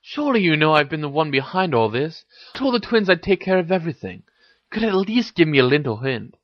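A man's voice: fairly high pitch, very animated, moderate pace, lo-fi sound, voice pushed up. A man voicing an awkward, earnest teenage boy wizard in a story reading, tentative in delivery. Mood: exasperated. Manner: tentative, slightly flustered.

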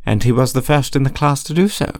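A man voicing a stern older female professor in a story, with a crisp, formal, firm delivery. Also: medium pitch, animated, fast talker, darkish tone, no room sound, studio quality, pitched above the performer's own voice, lightly acted. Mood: incredulous, pointed.

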